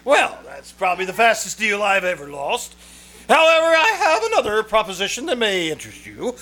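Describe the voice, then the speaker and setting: A man acting a fussy, excitable, chuckling real estate agent in an old-time radio comedy, flustered and theatrical as he repeats himself. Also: high voice, a little fast, full character voice. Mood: glib, chatty. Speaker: actor in a radio troupe.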